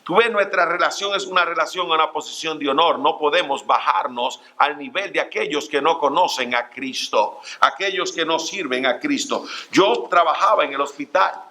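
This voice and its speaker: A middle-aged man preaching a church sermon, earnest and direct, calling his listeners brothers and pausing on fillers. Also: on the high side, bright, forceful tone. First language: Spanish. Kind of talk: Spanish-language church sermon. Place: church sanctuary.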